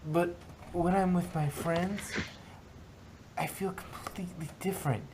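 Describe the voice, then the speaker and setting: A woman voicing a shy, gentle female pegasus pony in a fan fiction reading, speaking hesitantly and earnestly. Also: on the low side, steady tone, a little slow, close to an everyday voice. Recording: noisy home microphone recording.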